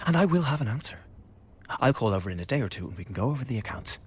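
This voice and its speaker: An actor playing a sardonic, contemptuous young man who mocks his father with glib, lively remarks in a radio drama. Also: medium pitch, racing, a little hiss or hum, natural voice. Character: mocking, cold young man, the returning son.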